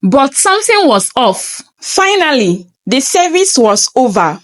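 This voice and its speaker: Slow, high-voiced woman narrating a dramatic story. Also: very animated, dry recording. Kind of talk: scripted first-person story narration.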